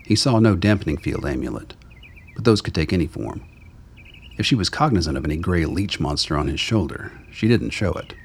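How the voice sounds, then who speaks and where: A man with a gravelly, husky voice narrating a scripted radio drama. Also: low-pitched, animated, brisk.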